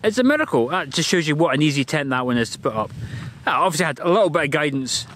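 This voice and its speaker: A man's voice: fairly high pitch, lively intonation, fast, a bit bright, slight background noise. A man in his forties hosting an outdoor vlog on a hilltop, talking to a camera held at arm's length in a chatty, upbeat, self-mocking way. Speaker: vlog host, landscape photographer. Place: open windy hilltop outdoors.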